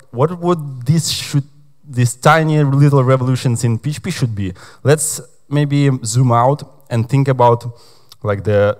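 A young man from Ukraine giving a conference talk on stage, chatty and hesitant, with lots of fillers. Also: medium pitch. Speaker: conference speaker.

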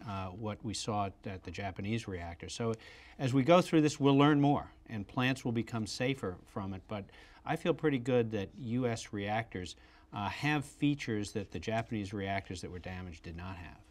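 American man, sixties, lowish voice, expert speaking in an unscripted studio panel discussion. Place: TV studio at a desk.

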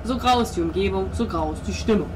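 A woman's voice: medium pitch, quick pace. A woman narrating a tabletop role-playing game as its game master. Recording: noisy home table recording.

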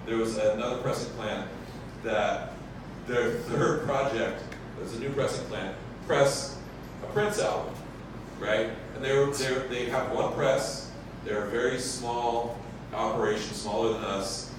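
A man's voice: medium pitch, unhurried. Young man explaining shop talk in an echoey room. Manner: chatty, explains with lots of fillers and self-corrections. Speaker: vinyl pressing plant owner speaking at a science cafe. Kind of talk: casual talk to a live audience. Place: large brick-walled bar room. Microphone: handheld mic, filmed from far off. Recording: echoey room, far camera, background noise.

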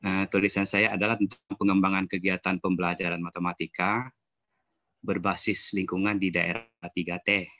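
A man in his fifties giving a slide presentation over a video call, halting and repeating phrases. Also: low-pitched, level tone, pushed and bright, dry recording. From West Kalimantan, Indonesia. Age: 50s. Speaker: student presenter. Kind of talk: slide presentation at an online seminar.

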